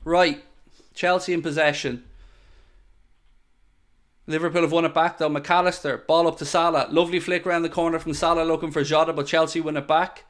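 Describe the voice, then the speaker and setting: A man's voice: a little high, brisk, long pauses. A man hosting a live football watchalong, calling the play and joking with his viewers. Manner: excitable, jokey, addresses viewers directly. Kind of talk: live match commentary.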